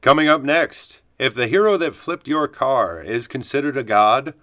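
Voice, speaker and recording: A man's voice: medium pitch, animated, moderate pace, bright, forceful tone, no room sound, studio quality. A man acting a polished, formal local news anchor in a parody newscast for a radio play. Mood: solemn, formal. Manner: formal, announcer-like delivery.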